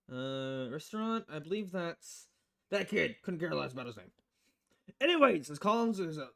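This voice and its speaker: A man's voice: on the high side, vocal fry. A man voicing a crass, cynical, money-loving newspaper editor in a visual novel, brash and foul-mouthed in manner. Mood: scornful.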